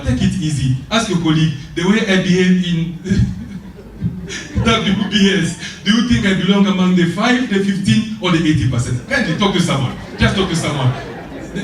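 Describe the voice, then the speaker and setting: A man from the Democratic Republic of Congo with a Congolese French accent, whose first language is French, giving a lively motivational speech. He asks the audience rhetorical questions and repeats his key phrases. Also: sits high. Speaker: motivational speaker. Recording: very echoey hall, voice from far off.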